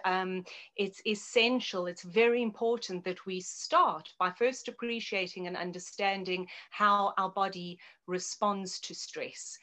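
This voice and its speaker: A woman's voice: medium pitch, a bit bright. A middle-aged woman with a South African accent, giving explanatory interview answers as a health adviser in an articulate way. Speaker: guest naturopath and health adviser.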